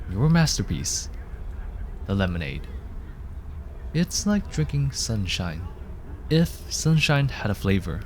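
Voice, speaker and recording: A low-pitched, affectionate boyfriend acted by a man in an ASMR roleplay, taking his time over every phrase. He is playful and whimsical, giving small things a mock-grand flourish. Outdoor park ambience sits behind his voice. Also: a little mellow, slight background noise. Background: park ambience sound effects.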